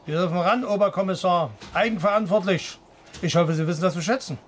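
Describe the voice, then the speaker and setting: Radio crime drama: brusque, ironic male police chief, played by a male actor, high-pitched, commanding, faint background noise. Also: fairly quick, natural voice. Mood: stern, sarcastic.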